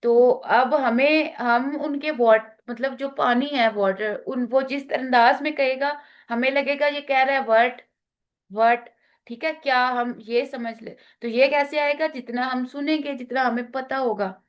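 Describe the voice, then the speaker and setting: A woman with a Pakistani accent teaching an online class, talking slowly with many fillers and switching into English phrases. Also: sits high, fairly even intonation. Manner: slow, halting, many fillers, mixing in English.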